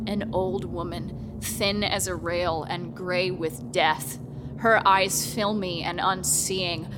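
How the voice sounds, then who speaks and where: A woman narrating a horror radio drama in a deliberate, ominous, dramatic storytelling manner. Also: on the low side, background noise.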